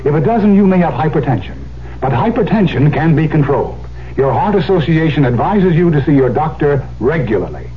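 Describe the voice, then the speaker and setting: A man's voice: fairly high pitch, lively intonation, brisk, soft background music, natural voice. A man reading a 1970s radio public service spot as a scripted announcer. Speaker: commercial announcer and skit player. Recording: thin, phone-like radio sound.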